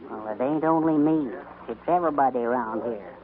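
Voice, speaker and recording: A man's voice: medium pitch, fairly quick, slight background noise, natural voice. An actor playing a nervous, frightened barkeeper in a radio western, folksy and hesitant in the way he talks. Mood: wary. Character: jumpy, frightened frontier barkeeper. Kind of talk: 1950s radio western acting. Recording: tinny old radio transcription.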